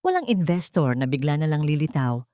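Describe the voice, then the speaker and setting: A woman's voice: sits low, very animated, fairly quick, warm tone, no echo. Audiobook villainess: scheming, distrustful wealthy woman, tense self-talk performed by a female narrator, dry recording. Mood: suspicious. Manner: tense muttering to herself. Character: suspicious, scheming rich woman muttering to herself.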